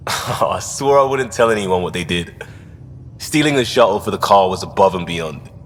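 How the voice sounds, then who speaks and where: An actor playing a plainspoken, earnest crisis responder in a sci-fi video game, casual and wry. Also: male voice, deep voice, expressive, moderate pace, slight background noise. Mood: fond. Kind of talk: video game character dialogue. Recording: hall reverb, faint music behind.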